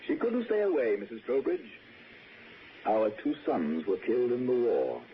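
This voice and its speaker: An actor playing a gentle, wistful, courteous lord in 1960s radio drama, speaking with hesitant, softly persuasive politeness. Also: male voice, medium pitch, wildly swooping pitch, moderate pace. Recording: dull, noisy 1960s radio recording.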